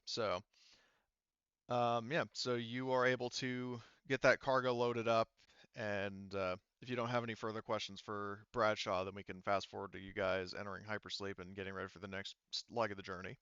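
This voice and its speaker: Bright, clear-voiced man, dry sound. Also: on the low side, little pitch movement, normal speed, no echo, dull audio. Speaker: game master. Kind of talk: running a tabletop roleplaying game, casual explaining.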